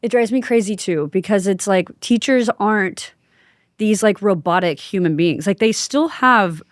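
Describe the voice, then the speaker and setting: A woman's voice: medium pitch, normal speed, dry recording, studio quality. A woman in her thirties hosting a casual interview, talking emphatically and often checking that she is understood. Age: 30s. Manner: emphatic, conversational, frequent rhetorical check-ins. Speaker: podcast host and interviewer.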